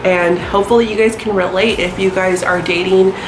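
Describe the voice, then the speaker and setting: A woman's voice: fairly low pitch, fairly even intonation, a little fast, echoey room, noisy background. A young woman in her twenties with a stuffy nose from a sinus infection, chatting casually to camera with lots of ums and likes. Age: 20s. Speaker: astrology vlogger.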